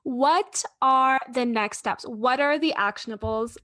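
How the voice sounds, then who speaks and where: A woman co-hosting a podcast, casual and joking, speaking in quick asides. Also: high-pitched, fairly bright tone. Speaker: podcast co-host. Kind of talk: casual conversation.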